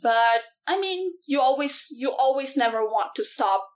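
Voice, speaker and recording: A woman in her thirties, originally from Malaysia, speaking as a startup CEO in an in-person interview. She talks thoughtfully, filling pauses with ums and breaking into easy laughter. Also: sits high, expressive. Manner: thoughtful, with many fillers and laughs.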